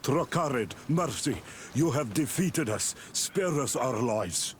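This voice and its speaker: An actor playing a proud native raider in a fantasy game, speaking solemnly and formally. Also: male voice, medium pitch, lively intonation, takes it slowly, a little hiss or hum. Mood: pleading. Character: defeated, proud native male raider bound by honor. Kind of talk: scripted game cutscene acting.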